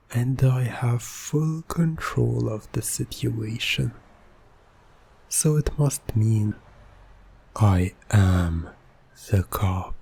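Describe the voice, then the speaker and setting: A man performing an intimate ASMR hypnosis roleplay as a controlling, seductive hypnotist, speaking in a hushed, commanding manner. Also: lowish voice, vocal fry, husky. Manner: slow, commanding, hushed, often slipping toward whispering. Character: controlling, seductive male hypnotist toying with a captive.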